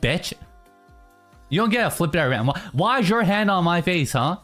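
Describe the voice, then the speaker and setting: A streamer in casual live-stream chatter, teasing chat and answering in mock outrage between low, mumbled musings. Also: very animated, normal speed, a bit bright, soft background music. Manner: reacts to chat, teasing, mock-indignant bursts.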